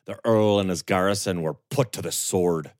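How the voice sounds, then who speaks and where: A man voicing a grim young man bent on revenge, speaking low, calm and controlled. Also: normal speed, no room sound, clean studio sound, close to an everyday voice.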